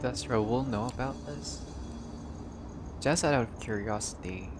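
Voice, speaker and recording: A man's voice: mid-range voice, slow, over music. A man reading a visual novel aloud as a playthrough narrator, in a storyteller-like way with brief casual asides.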